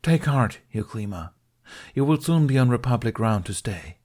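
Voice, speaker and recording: A man acts out a Jedi master in a science fiction audiobook. He is calm and commanding, with formal, carefully enunciated phrases. The voice is raised and projected with steady authority. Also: mid-range voice, moderate pace, pitched above the performer's own voice, natural voice. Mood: encouraging. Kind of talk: acted audiobook dialogue in a science fiction story.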